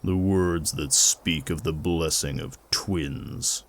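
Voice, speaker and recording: A man's voice: a bass voice, slow pace, exaggerated voice. A man doing a terse, grim warrior. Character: tall scarred male warrior, grim and terse.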